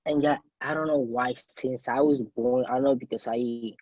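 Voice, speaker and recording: A man's voice: medium pitch, fairly even intonation, moderate pace, darkish tone, no echo, highs cut off. Cuban-raised man, rambling and dry. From Cuba. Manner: loose, rambling, many "you know" fillers. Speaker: student panelist. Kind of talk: casual panel answer.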